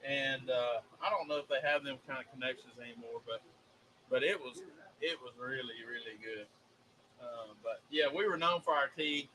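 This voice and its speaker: A man's voice: medium pitch, moderate pace, pushed and bright, slight background noise, rough recording. A man with a Southern US accent, co-hosting a casual chat and telling rambling, folksy stories. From American South. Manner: folksy, drawling, rambling storyteller. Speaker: co-host.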